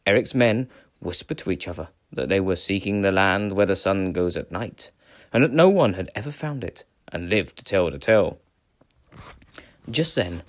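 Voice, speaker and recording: A man reading a children's adventure tale aloud as a storyteller, with dramatic, measured phrasing. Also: lowish voice. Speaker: storyteller, teacher.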